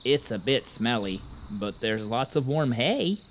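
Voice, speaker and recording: A small, hesitant innkeeper, acted by a man, who means to be obliging. The voice is mid-pitched and swings up and down, in a children's audio drama with faint insect ambience. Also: big pitch swings, unhurried, a little hiss or hum. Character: short, hesitant but obliging male innkeeper.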